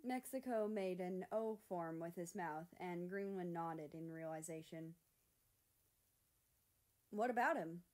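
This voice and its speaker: A woman's voice: lowish voice, a little slow, hardly any pauses, dark tone. A woman reading scripted fiction aloud as a story narrator. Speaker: fan fiction reader.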